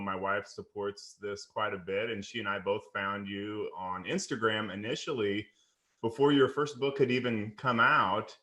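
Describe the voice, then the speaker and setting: Guy hosting a podcast, low voice, bright sound, friendly ums. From United States. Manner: friendly, upbeat with fillers. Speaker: podcast host, wealth manager. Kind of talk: casual interview.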